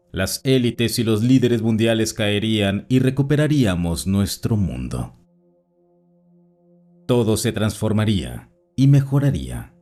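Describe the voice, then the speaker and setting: A man with a fairly low voice reads personal stories in a calm, measured way. His intonation moves a lot, rising and falling through each sentence. Faint music plays under the voice-over. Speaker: narrator reading listener stories. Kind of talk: scripted narration of written accounts.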